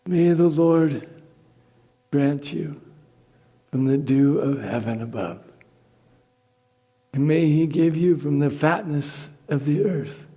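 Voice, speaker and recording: A man's voice: fairly high pitch, slow pace, pauses often, dark tone, breathy edge, heavily acted. Man acting a solemn old patriarch, big echo. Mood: solemn blessing. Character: old blind patriarch father, solemn, blessing his son. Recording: reverberant church hall.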